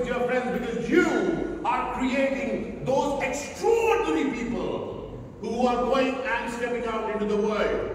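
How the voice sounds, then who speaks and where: A man from Delhi with an Indian accent giving an animated motivational talk and firing rhetorical questions at the audience. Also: very high voice. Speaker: motivational speaker. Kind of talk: interactive motivational talk to educators. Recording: echoey hall, voice from far off, faint hiss.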